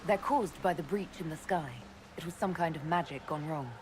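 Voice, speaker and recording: A woman playing a composed, earnest elf heroine in a video game, speaking calmly and directly. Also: low-pitched, moderate pace, background noise, natural voice. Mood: composed, resolute. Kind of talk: video game character acting.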